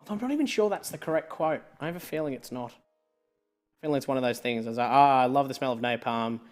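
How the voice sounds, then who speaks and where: Jokey Scottish-born quiz host. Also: male voice, medium pitch, fast talker, faint music behind. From Scotland. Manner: jokey, laughing often, trailing off quietly. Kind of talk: live online pub quiz hosting.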